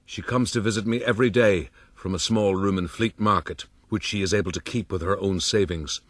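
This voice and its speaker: An actor playing a proud, roguish gentleman who narrates his own story in a florid, boastful, rueful way for a period radio drama. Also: sits low, fairly even intonation, fairly quick, no echo, studio quality.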